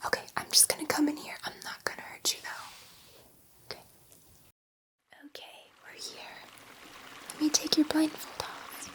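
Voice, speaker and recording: Woman playing a whispering, gentle alien scientist. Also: high voice, completely flat delivery. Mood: reassuring. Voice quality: soft, breathy whisper. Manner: unhurried, reassuring, hesitant, murmuring to one listener. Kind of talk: ASMR roleplay, whispered.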